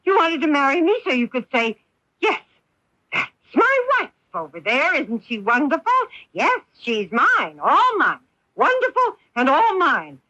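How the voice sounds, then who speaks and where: A woman playing a bitter, wounded wife and mother in a 1980s radio drama, emphatic and theatrical, with deliberate pauses. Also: high-pitched, wildly swooping pitch, pushed and bright, dry recording, exaggerated voice. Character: bitter, wounded wife and protective mother, faded society belle. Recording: dull lo-fi off-air radio recording.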